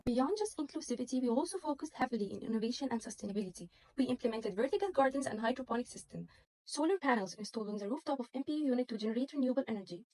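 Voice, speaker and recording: A woman's voice: on the high side, dark tone, no echo, low-quality recording. Woman, 20, student presenter, scripted and earnest, dry sound. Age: 20s.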